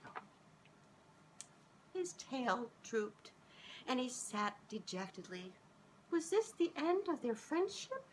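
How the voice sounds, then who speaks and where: A middle-aged woman reading a children's storybook aloud in an expressive, sing-song way, as if speaking directly to young listeners. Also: on the high side, slow pace, pauses often, slight background noise, low-quality recording. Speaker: children's author reading her own book aloud. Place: small living room, on a couch indoors.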